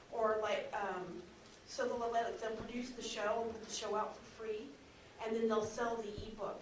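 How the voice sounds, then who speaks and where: A woman speaking informally as a producer on an audio drama panel. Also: on the high side. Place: convention panel room, indoors. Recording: very echoey, distant, noisy room.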